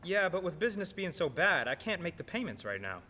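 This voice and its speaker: An actor playing a humble, hard-up taxi driver in a radio play, with a noisy room around him. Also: male voice, high voice, sing-song, a little fast, sharp tone, exaggerated voice. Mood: downcast, sheepish.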